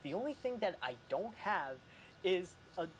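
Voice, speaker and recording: Animated higher-voiced man, noisy line. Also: moderate pace. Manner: animated, chatty, fond of acting out examples. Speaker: podcast panelist. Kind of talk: casual chat.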